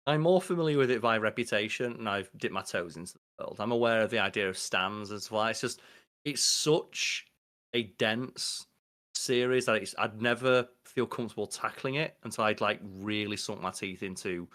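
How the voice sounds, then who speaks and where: A man, co-hosting a podcast in quick, enthusiastic casual chat. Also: on the low side, fairly bright tone. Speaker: podcast co-host.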